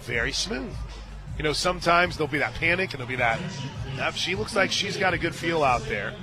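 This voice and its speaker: A man calling a softball game on radio as play-by-play announcer, mixing pitch calls with folksy, conversational asides. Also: expressive, fairly quick, over music. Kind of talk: live softball commentary mixed with casual chat. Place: stadium radio booth.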